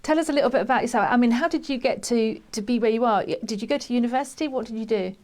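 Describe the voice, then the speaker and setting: A woman's voice: on the high side, rapid-fire, a little mellow. A woman hosting a panel, asking conversational questions in an informal, encouraging way. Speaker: host, school principal.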